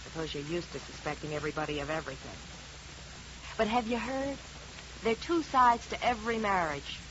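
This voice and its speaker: An American woman playing a brusque, deadpan, tough young wife in a 1950s radio detective drama. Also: on the low side, brisk, natural voice. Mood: weary defensive. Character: blunt, world-weary, slovenly young wife, tough dame. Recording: noisy, muffled old radio recording.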